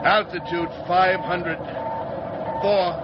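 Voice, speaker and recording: An actor playing a dutiful spaceship crewman in a 1950s radio drama, calling out readings in a formal, measured way. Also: sits high, fairly even intonation, loud music behind the voice. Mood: formal, alert. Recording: muffled 1950s radio, heavy noise.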